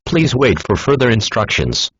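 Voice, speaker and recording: Husky synthetic male emergency alert announcer. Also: medium pitch, big pitch swings, normal speed, a bit bright, dry recording. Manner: formal, instructional. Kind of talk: scripted emergency broadcast announcement. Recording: dull lo-fi emergency broadcast audio.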